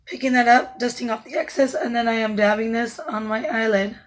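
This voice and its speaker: A woman makeup artist talking through a tutorial step by step, her naturally raspy voice hoarse and breaking in and out, calm and instructive with soft asides while she works. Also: on the high side, fairly quick. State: hoarse, voice going in and out.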